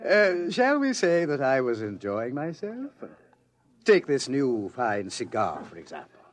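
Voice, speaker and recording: An actor playing a suave, self-indulgent pursuer from the future in a vintage radio drama, urbane, leisurely and mocking. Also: male voice, mid-range voice, huge pitch swings, slow, long pauses. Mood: gloating relish. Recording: old radio drama recording.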